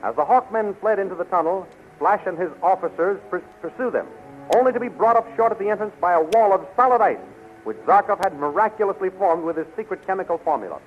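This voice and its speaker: A man's voice: sits high, animated, fast. A man as a dramatic narrator reading scripted adventure-serial narration in 1930s radio style. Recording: muffled old radio recording, music behind.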